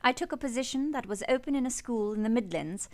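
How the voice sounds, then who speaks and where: A woman playing a sorrowful but resolute young governess, telling her story in an earnest, measured, formal way for a radio play. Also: on the high side, animated, a little mellow. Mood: wistful. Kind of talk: first-person dramatic narration in a radio play. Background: piano music.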